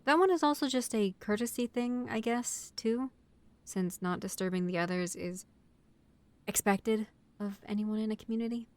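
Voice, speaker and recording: A woman's voice: on the high side, normal speed, darkish tone, natural voice. A woman playing an exasperated, bossy young vampire college student in a scripted roleplay audio drama, talking in an organized, matter-of-fact way like someone chairing a meeting. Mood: organized, considerate.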